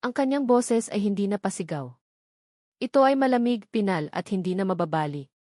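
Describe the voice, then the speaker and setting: A woman's voice: medium pitch, expressive, moderate pace, a little mellow, no room sound, clean studio sound. A woman reading a scripted, dramatic story as its narrator.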